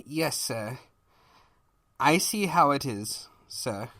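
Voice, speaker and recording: A loyal royal underling acted by a man, deferential and long-suffering. The speech is slow but swings widely in pitch, with servile politeness fit for a cartoon storybook henchman. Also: no room sound, full character voice. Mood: resigned. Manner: deferential, servile, deadpan asides. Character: loyal, long-suffering male pony chancellor to evil king.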